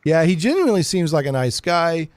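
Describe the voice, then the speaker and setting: A man hosting a casual geek-news talk show, chatting in a jokey, animated way with playful emphasis. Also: a little high, a little fast, no room sound, clean studio sound. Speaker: radio talk show host.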